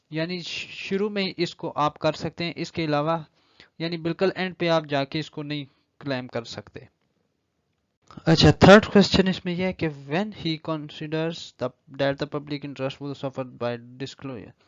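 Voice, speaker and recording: A man's voice: on the high side, no room sound. Pakistani man lecturing, slightly dull recording. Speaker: law lecturer. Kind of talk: explanatory lecture, reading statute aloud then explaining.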